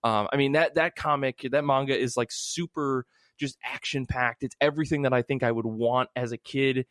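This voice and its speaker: A man's voice: mid-range voice, fairly even intonation, normal speed, a bit bright, no room sound, studio quality. A man in his thirties hosting a podcast and chatting casually. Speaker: podcast host. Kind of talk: casual comics podcast chat.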